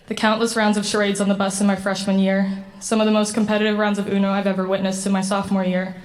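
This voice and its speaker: A young woman from Sweden delivers a prepared award acceptance speech in an earnest, steady way. Her voice sits at a middle pitch and stays fairly flat, with little rise and fall. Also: quick pace, low-quality recording. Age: 20s. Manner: earnest, steady, slightly read-aloud delivery. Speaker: award recipient giving a speech.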